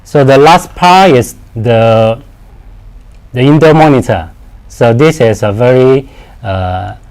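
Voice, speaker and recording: Slow, halting man presenting product training. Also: medium pitch, a little mellow. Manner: halting, repeats words, non-native-sounding simple English phrasing.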